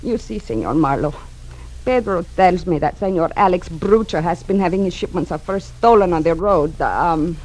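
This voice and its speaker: A woman's voice: lowish voice, expressive, normal speed, a little mellow, poor audio. An actress playing a distraught older mother with a Spanish accent in an old-time radio drama. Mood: anxious, aggrieved. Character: distraught older Spanish-speaking mother pleading for her son.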